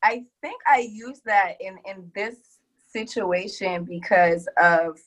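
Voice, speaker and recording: A woman's voice: mid-range voice, slow pace, a bit bright, rough recording. A woman from the South, speaking candidly as a podcast guest, hesitant and full of ums. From Deep South of the US. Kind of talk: casual introduction.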